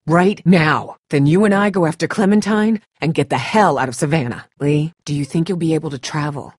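A woman playing a tough, blunt, protective young survivor in a video game, with a husky voice and a terse, urgent, sardonic delivery. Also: sits low, a little fast, darkish tone, no echo, studio quality. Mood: urgent, frantic. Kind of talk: dramatic game dialogue.

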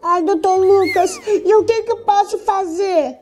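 A performer voicing eager little puppies in a children's toy story, with a childlike, excitable manner. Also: very high-pitched, a little slow. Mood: pleading. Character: eager little cartoon puppy patients. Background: loud background music.

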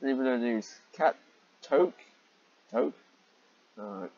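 A man's voice: mid-range voice, animated, slow, darkish tone, muffled recording. A man reading amateur fiction aloud with sarcastic commentary and exasperated, incredulous asides.

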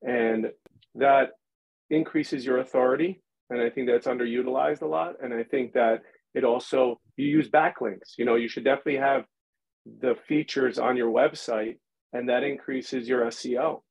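A man who owns a gym, talking as a podcast guest in a quick, rambling way with lots of restarts. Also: medium pitch, steady tone, vocal fry, a little mellow, husky, highs cut off. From New York City. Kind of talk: casual podcast interview.

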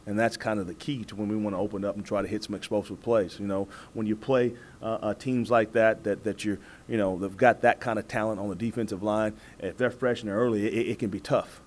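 An American man in his forties, a football coach answering press questions in a measured, halting way, repeating words as he goes. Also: on the low side, steady tone, a little mellow. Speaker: college football head coach. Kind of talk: postgame press conference answers. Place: indoor press room at a table before a backdrop. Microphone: several press microphones on the table.

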